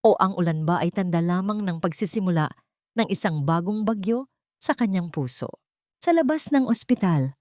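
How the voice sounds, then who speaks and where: Woman telling a sentimental drama story, lowish voice, really dry close sound. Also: warm tone, dry recording. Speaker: audio drama narrator. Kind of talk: dramatic scripted story narration.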